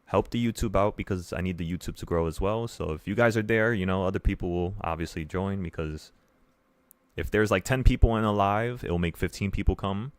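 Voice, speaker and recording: A young man with a low voice hosts a casual livestream. He speaks earnestly and loosely to his viewers, wandering through his thoughts and repeating phrases to stress them. The sound is dry, with hardly any room in it. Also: a little fast, dry recording. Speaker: livestream host, options trading teacher.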